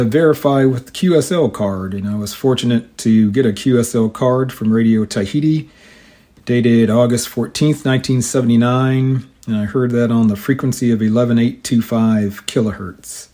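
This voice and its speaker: Older-ish guy with a soft mellow voice, hobby radio host just explaining stuff casually, mid pitch. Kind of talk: casual explanatory talk with some reading aloud.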